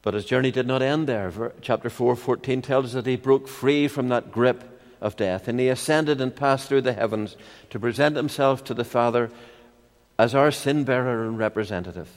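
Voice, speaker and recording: An older man with a Northern Irish accent preaching a sermon in a measured, expository way, with rhetorical questions and personal anecdotes. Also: mid-range voice. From Ireland. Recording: long hall reverb.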